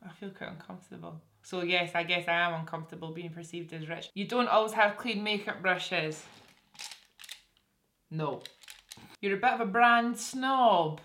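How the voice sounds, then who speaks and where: A woman's voice: lowish voice, normal speed, a bit bright. A young woman with a Scottish accent chatting casually to camera in a small room, answering viewers' assumptions in a self-deprecating way with lots of restarts. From Scotland. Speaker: beauty vlogger. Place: small indoor room with curtain backdrop.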